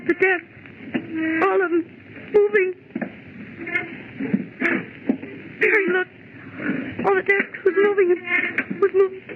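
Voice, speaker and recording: A frightened young-sounding office woman in a vintage radio horror play, urgent and melodramatic. Her high-pitched pleading comes through a thin, band-limited recording with clear hiss and noise. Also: phone-quality sound. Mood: panicked, pleading. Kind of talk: old-time radio horror acting.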